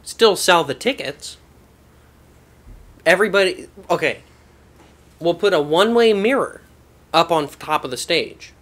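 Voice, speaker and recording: A man playing a blunt, bossy therapist hosting a comedy sketch, pressing his patient and jumping loud when he insists. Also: on the high side, lively intonation, measured pace, pauses often. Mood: probing. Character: pushy, self-important male TV therapist, blunt and bossy. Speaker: host.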